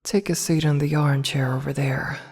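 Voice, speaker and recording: A woman playing a seductive, commanding evil empress in an intimate ASMR roleplay, breathy and often near a whisper, teasing and imperious. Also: deep voice, fairly even intonation, darkish tone. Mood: sultry, teasing. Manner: slow, teasing, imperious, deliberate. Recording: long reverberant hall echo.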